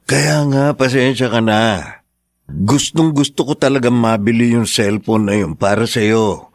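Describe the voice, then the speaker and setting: A man's voice: mid-range voice, steady tone, unhurried, dry recording, close to an everyday voice. Actor as a hot-headed, doting father, dry close sound. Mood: remorseful, tender. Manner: pleading, blustering. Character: hot-headed, doting, remorseful working-class father of a teenager. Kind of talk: radio drama acting.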